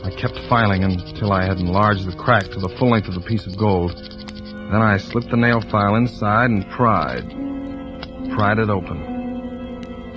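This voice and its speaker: An actor playing a tense, suspicious fire inspector and family man who narrates his own story deliberately, in 1940s radio drama style. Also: lowish voice, close to an everyday voice. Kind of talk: 1940s radio acting, first-person suspense narration. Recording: muffled old radio recording, loud organ music.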